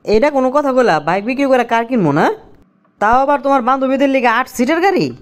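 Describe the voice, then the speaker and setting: Casting: henpecked young cartoon husband, played by a woman, high-set animated voice, Bangladeshi accent, incredulous complaining. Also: fast talker. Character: exasperated, henpecked young husband pleading with wife. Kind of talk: comic cartoon dialogue in colloquial dialect.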